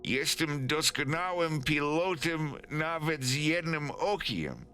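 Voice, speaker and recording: A man voicing a desperate man who pleads for his life in halting, stammering repeats. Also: a little high, animated, sharp tone, a little hiss or hum, pitched above the performer's own voice, exaggerated voice. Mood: slow, halting appeal.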